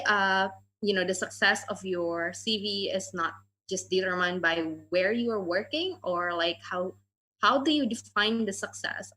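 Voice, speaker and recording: Woman hosting a q&a on a video call, bright clear voice, lots of um and uh. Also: mid-range voice, poor audio. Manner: reads out questions and answers with frequent "um" and "uh". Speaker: moderator and education adviser. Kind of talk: moderating an online Q&A.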